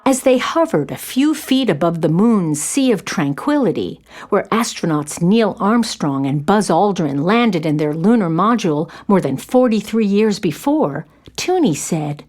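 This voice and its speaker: A woman's voice: lowish voice, very animated, a little slow, few pauses, a little mellow. A woman narrating a scripted children's story as its storyteller.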